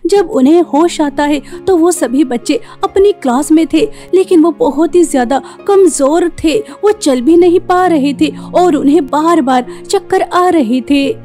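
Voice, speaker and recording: A woman's voice: high voice, measured pace, few pauses, warm tone, soft background music. A woman narrating a scripted horror story as the storyteller.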